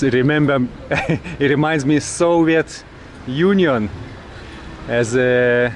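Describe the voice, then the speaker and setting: A middle-aged man from Europe narrating a travel vlog as he walks busy city streets, halting and pausing often on filler sounds. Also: medium pitch. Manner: hesitant, halting, many filler pauses. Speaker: travel vlogger. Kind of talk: casual walking narration. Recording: outdoor street noise.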